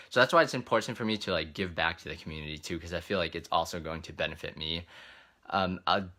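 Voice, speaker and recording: A man's voice: sits low, sharp tone. A man who hosts travel videos, chatting casually in a live question-and-answer session, informal and rambling as he thinks aloud. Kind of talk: casual livestream Q&A, answering read-out comments.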